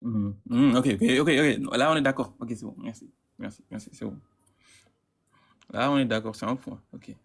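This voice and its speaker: Male debate caller, mid-pitched, stammering and insistent. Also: moderate pace, vocal fry, slightly rough. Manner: stammering, repeats words, insistent. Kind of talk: heated live debate.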